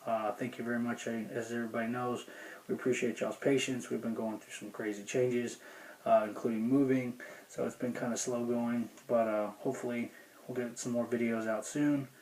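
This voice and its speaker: Male gear reviewer, casual and folksy, mid-pitched with little inflection, some background noise. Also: level tone, moderate pace, a little mellow, low-quality recording. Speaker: gear review video host. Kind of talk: casual unscripted product review.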